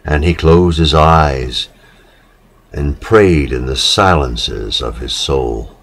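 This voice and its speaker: Deep, slow-speaking man reciting solemnly. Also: a bit bright, breathy edge. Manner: measured, dramatic pauses between short phrases. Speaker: reader of poetic literature.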